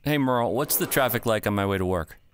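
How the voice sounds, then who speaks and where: Brief: actor as a tired everyman, mid-pitch, flat replies, faint hiss behind. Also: fast talker, lightly acted. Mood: weary. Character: weary homeowner Frank, adult man. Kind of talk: comedy radio play.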